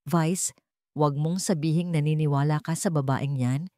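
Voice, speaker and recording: A woman's voice: low-pitched, fairly even intonation, moderate pace, mellow, no room sound, studio quality, close to an everyday voice. A woman voicing a rich older mother in a drama story, imperious, indignant and threatening. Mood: indignant, scornful. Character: haughty, threatening rich older matriarch, scornful.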